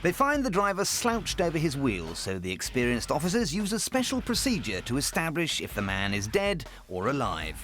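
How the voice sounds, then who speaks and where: A man narrates police footage with a wry, teasing delivery, his pitch rising and falling widely as he lands each joke. A music bed plays clearly under him. It is polished scripted voice-over. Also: wildly swooping pitch, normal speed, few pauses, fairly bright tone. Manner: dry, mocking, tongue-in-cheek.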